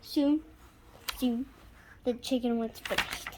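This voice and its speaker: A young girl reading a picture book aloud in an animated, halting way, stopping to react and ask questions. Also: on the high side, background noise. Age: young child. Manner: halting over harder words, interjecting reactions and questions to listeners. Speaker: child reader.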